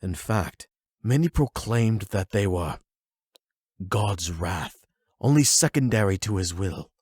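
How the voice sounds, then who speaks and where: A man voicing a calm, erudite therapist in an anime audio roleplay, speaking in a measured, formal, gently probing way. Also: lowish voice, breathy edge, no echo, studio quality, full character voice. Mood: calm, lecturing. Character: calm, erudite male therapist and demi-human expert.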